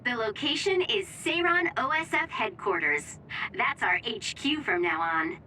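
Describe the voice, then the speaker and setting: A woman's voice: fairly high pitch, animated, unhurried, bright, forceful tone, a little hiss or hum, low-quality recording. An actress playing a friendly, perky young woman who gives mission briefings as an operator in a video game. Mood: upbeat. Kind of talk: English video game voice acting.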